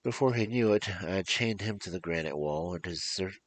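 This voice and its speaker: A storyteller with a low male voice narrates dramatically. His delivery is deliberate and theatrical, now and then tripping over a word.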